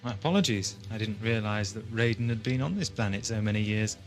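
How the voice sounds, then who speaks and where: A man's voice: on the low side, fairly quick, soft background music, close to an everyday voice. A man playing an inquisitive, wry and polite time-travelling adventurer in an audio drama, with a questioning manner. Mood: probing.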